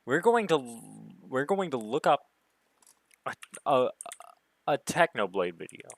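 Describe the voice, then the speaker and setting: Animated man rambling on a gaming stream. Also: measured pace, vocal fry, dry recording. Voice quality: somewhat deep voice. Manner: rambling, self-musing talk. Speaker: gaming livestreamer. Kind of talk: casual solo gaming stream chat.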